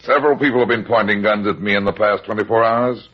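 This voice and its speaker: An actor playing a wisecracking, unflappable heavyset private detective in a vintage radio drama, wry and sardonic. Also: male voice, on the low side, fairly even intonation, quick pace, natural voice. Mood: wry, calm. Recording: muffled vintage radio recording.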